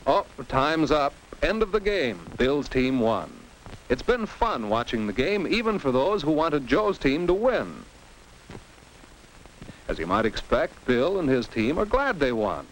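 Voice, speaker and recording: Animated American man narrating a vintage classroom film. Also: on the high side, unhurried. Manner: didactic, posing rhetorical questions and exclaiming like a game commentator. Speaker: narrator of an educational film for teens. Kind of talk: 1950s instructional film narration, scripted. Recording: noisy old 1950s film soundtrack.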